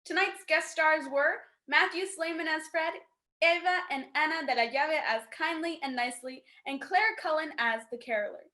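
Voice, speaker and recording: A woman announces a radio play in a high, bright voice. Her delivery is scripted and presentational, like a programme sign-off. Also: normal speed.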